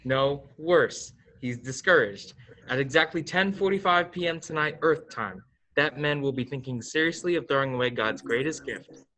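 Teenage boy playing a calm senior angel in charge. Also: a little high, normal speed, lightly acted, poor audio. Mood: calm, instructive. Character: authoritative male senior angel, calm and businesslike. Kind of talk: staged radio play.

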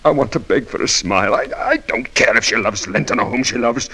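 An actor playing an intense, wretched, lovesick young man, halting and impassioned, in a 1940s radio drama. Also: medium pitch, lively intonation, pushed and bright, husky. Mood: tormented longing.

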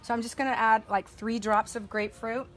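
A woman's voice: fairly high pitch, steady tone, fairly quick, slight background noise. Middle-aged woman, casual cooking demo, talks as she works, outdoor deck, distant camera. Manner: chatty, walks through steps while working. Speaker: cooking demonstrator.

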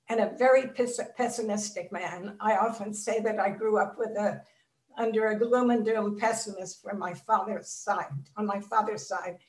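An older woman from Czechoslovakia, a Holocaust survivor, telling her life story in measured, reflective speech with pauses, on a video call. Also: fairly high pitch. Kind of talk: personal testimony in an interview. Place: home room. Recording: echoey video call audio from a distance.

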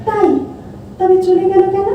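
A woman's voice: extremely high pitch, completely flat delivery, measured pace, muffled recording, slight background noise. A woman acting a sulky, affectionate and anguished lover in an emotional amateur audio play, with a plaintive, scolding delivery. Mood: hurt, concerned. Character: Bhabna, sulky affectionate woman anguished over her beloved. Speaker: amateur stage audio-drama actor. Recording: echoey hall, distant mic, music.

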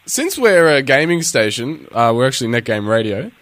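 A man's voice: medium pitch, big pitch swings, fairly bright tone, no echo. Dry-sounding Australian man asking questions. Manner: halting questions with ums, chatty. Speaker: radio interviewer. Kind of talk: casual radio interview.

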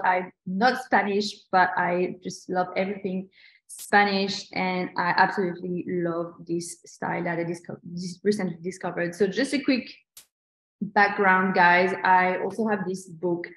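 French-accented woman teaching over a call. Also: mid-range voice. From New Caledonia. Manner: chatty and enthusiastic, many restarts. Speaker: lettering instructor. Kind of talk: live online tutorial. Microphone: video call. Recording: echoey video call audio.

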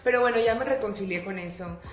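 A young Colombian woman chats as a guest on a home talk show, playful and laughing easily, her voice pitched mid-range for a woman. Her accent blends in bits of Mexican speech. The living room gives a noticeable echo. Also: quick pace, crowd noise. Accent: Colombian, mixed with Mexican. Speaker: guest, actress. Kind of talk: casual interview chat. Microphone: stand mic on coffee table.

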